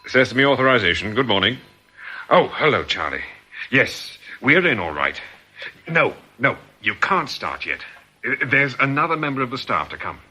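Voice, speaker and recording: Very sharp, brisk male gang leader. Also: medium pitch, sing-song, breathy edge, exaggerated voice. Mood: firm, managing. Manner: brisk, bossy, insistent. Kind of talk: radio drama acting. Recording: slightly noisy radio drama recording.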